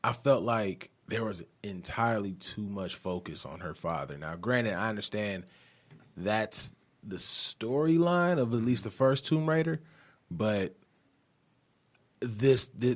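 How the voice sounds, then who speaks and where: A man chatting casually as a podcast panelist, blunt and opinionated, with slangy phrasing. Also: on the low side. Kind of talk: casual chat.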